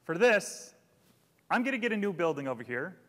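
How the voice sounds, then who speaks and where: A man's voice: high voice, very animated, a little fast, fairly bright tone. A man in his thirties presenting a product launch keynote on a large auditorium stage, upbeat and theatrical. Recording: long hall reverb.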